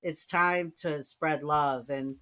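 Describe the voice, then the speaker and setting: A woman's voice: low-pitched, steady tone, takes it slowly, fairly bright tone, faint music behind. A woman from Puerto Rico co-hosting a podcast in casual chat, conversational and heartfelt, often saying "you know.". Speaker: podcast co-host.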